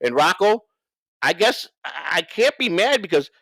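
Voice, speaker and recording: Higher-voiced man ranting, profane, dry close sound. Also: very animated, moderate pace, pushed and bright, dry recording. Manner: profane, rambling, repeats himself for emphasis. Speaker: livestream co-host. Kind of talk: unscripted livestream rant and banter.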